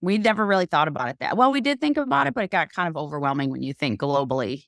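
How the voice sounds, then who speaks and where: A woman podcast host with a notably low voice talks in a loose, rambling, enthusiastic way. She chats casually. The recording is dry and close. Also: expressive, fast talker, creaky, fairly bright tone, no echo. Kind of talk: casual conversational chat.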